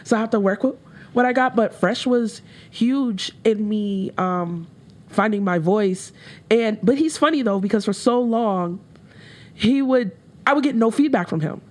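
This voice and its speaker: A woman rapper with a deeper voice, talking candidly as a guest in a casual interview, with frequent fillers. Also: a little slow, a little mellow. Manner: candid, reflective, with laughs and many fillers.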